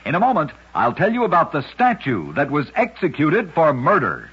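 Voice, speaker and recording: A man hosting an old-time radio program, narrating scripted strange tales in a dramatic, deliberate storyteller's delivery. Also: fairly high pitch, wildly swooping pitch, breathy edge. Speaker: radio host and narrator of oddities. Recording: dull lo-fi vintage radio recording.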